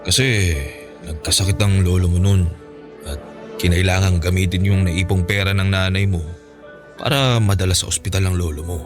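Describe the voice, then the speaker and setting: A man with a Filipino accent playing a calm, teasing, henpecked middle-aged father in a radio drama. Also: deep voice, normal speed, music in the background, lightly acted. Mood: nostalgic.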